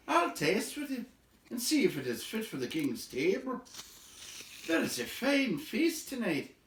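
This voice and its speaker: A man's voice: high-pitched, wildly swooping pitch, measured pace, a little hiss or hum, voice pushed up, heavily acted. A man voicing a jovial, hearty elf who is chief of the guards in a storybook. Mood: jovial, eager. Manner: laughing, hearty. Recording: roomy echo, distant from the mic.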